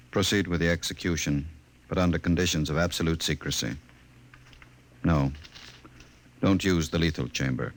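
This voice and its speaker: A middle-aged man acting in a 1950s radio drama as a smooth, calculating government director, speaking formally and with measured authority. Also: low voice.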